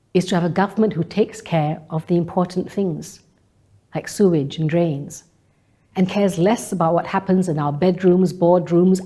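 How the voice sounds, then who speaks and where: A woman delivering a scripted spoken-word monologue in measured, deliberate phrases. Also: fairly low pitch, warm tone. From Malaysia. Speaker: speaker delivering a personal monologue.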